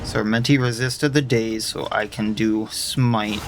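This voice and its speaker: A man's voice: fairly low pitch, steady tone, unhurried. A man losing his voice, casually talking through his game moves as he plays. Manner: muttered tactical thinking aloud. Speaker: gamer. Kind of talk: casual gameplay commentary. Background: game music.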